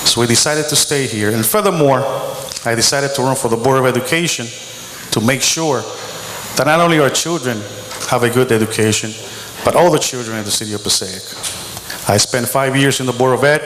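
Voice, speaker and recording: A middle-aged man giving a measured, earnest opening statement as a mayoral candidate at a school debate. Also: medium pitch, a bit bright, a little hiss or hum. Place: school auditorium. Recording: roomy hall with long reverb.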